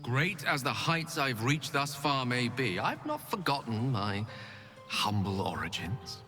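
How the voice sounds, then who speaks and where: An actor playing a proud, newly ascended wizard god of ambition, pompous and eloquent, in a dramatic video game. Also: male voice, medium pitch, sing-song, measured pace, sharp tone, in a large hall, soft background music. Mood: grandiose.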